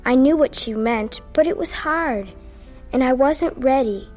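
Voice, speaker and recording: A woman's voice: high-pitched, a little slow, darkish tone, soft background music. A woman playing a sensitive, questioning young daughter in a radio drama, earnest and a little stubborn. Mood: wistful narration.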